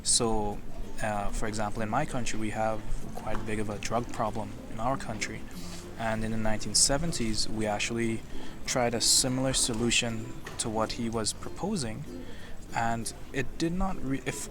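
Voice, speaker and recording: A man's voice: on the low side, fairly even intonation, normal speed, over music. A man from the West Indies giving a thoughtful street-interview opinion, restarting phrases as he builds his argument. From West Indies, Caribbean. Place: outdoors in a city. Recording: outdoors with clear background noise.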